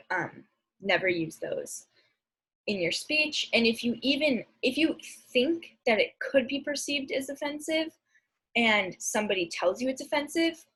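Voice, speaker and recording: A woman's voice: mid-range voice, a bit bright, breathy edge. A young adult woman giving an informal instructional presentation in a casual, conversational way, recorded indoors on a laptop microphone. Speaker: speech and debate presenter. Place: indoor room at home, on a video recording.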